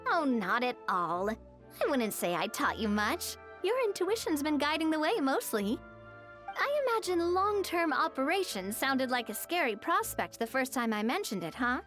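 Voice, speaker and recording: An upbeat young businesswoman in a video game. Her high voice is very animated, reassuring and articulate, over light music. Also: moderate pace. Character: upbeat, encouraging young businesswoman and park mentor.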